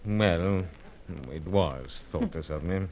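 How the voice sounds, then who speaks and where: A man's voice: low-pitched, slow, a little mellow, lo-fi sound, slight background noise. A man playing a suave but easily flustered British secret agent in a pulp spy radio serial, speaking in polite, hesitant, old-fashioned phrases. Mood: flustered, apologetic. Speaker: lead actor and first-person narrator.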